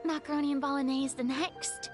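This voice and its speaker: Woman as a haughty young game heroine, high voice, theatrical, formal diction, proud, music behind. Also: fairly even intonation, fairly quick, natural voice. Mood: airy, chatty. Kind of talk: video game voice acting. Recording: game audio under music.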